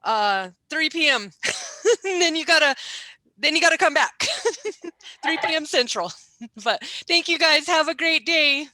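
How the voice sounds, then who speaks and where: Woman conference host, bright and sharp voice, loose and cheerful. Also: high voice, animated, unhurried, pauses often, no echo. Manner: friendly, laughing, loose. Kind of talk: casual hosting on a video call. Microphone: video call.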